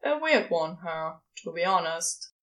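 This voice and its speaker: A woman's voice: on the low side, sing-song, measured pace, a bit bright, voice pushed down. A woman voicing a sardonic, casual male celestial being with offhand, clipped phrasing in a fan fiction audiobook.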